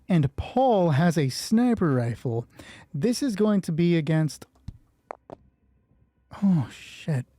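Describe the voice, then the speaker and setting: Mellow game master thinking aloud, very dry sound. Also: mid-range voice, no echo. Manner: sweary, excitable. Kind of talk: solo tabletop roleplay, thinking aloud while rolling dice and narrating.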